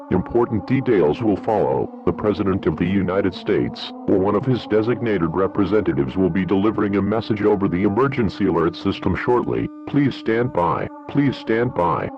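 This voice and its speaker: A man's voice: on the low side, expressive, moderate pace, hardly any pauses. A man reading a formal scripted emergency alert as a broadcast announcer, in a dull lo-fi recording. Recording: dull lo-fi broadcast under loud music.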